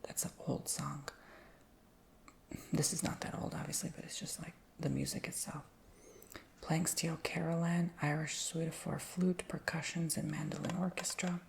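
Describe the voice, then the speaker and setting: A woman whispering casually in a show-and-tell video, rambling with lots of fillers and slowly reading out labels. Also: deep voice, level tone. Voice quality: soft whisper with creaky edges. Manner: reads names and titles slowly.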